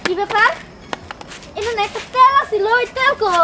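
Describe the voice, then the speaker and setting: A woman's voice: very high voice, moderate pace. A woman voicing a bossy, suspicious female shopkeeper who scolds and confronts people in a Bengali comedy cartoon. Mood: agitated, suspicious. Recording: loud music and crowd noise behind.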